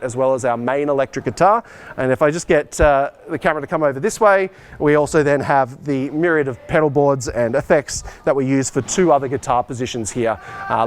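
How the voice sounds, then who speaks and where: A man giving an informal technical walk-through in a school hall, explaining things to camera as he shows equipment. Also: fairly high pitch. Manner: explanatory, speaking to camera while showing equipment. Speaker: high school department head and tour guide. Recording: clear ambient noise in hall.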